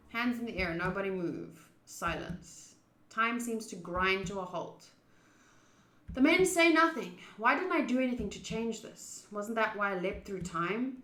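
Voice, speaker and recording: A woman's voice: medium pitch, bright, forceful tone, echoey room. A woman streaming a visual novel, informal and blunt, switching between live commentary and reading the game text aloud.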